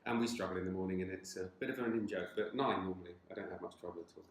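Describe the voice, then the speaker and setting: A man who is head of people and a manager, talking in a relaxed, hesitant way with dry humor. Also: deep voice, rapid-fire, reverberant, slight background noise. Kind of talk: casual workplace conversation.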